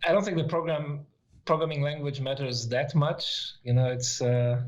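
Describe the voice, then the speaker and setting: A man's voice: a little high, fairly even intonation, moderate pace, lo-fi sound. A middle-aged man from Israel whose first language is Hebrew, explaining things casually and a bit hesitantly as an interview guest. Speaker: interview guest, software developer.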